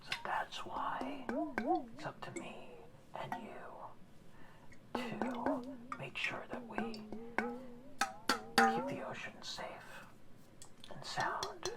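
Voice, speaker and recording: A woman voicing an old, kindly male orca guardian in an ASMR roleplay, speaking in a soft, slow, reassuring whisper. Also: high-pitched, lively intonation, full character voice. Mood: gentle whisper.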